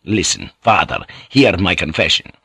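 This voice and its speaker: An actor voicing a rough, guilt-ridden Portuguese ship's pilot in an audiobook, confessing with passion. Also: male voice, sits low, animated, moderate pace, sharp tone, no room sound, studio quality, exaggerated voice. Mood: tormented. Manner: impassioned, confessional. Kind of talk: audiobook character voice.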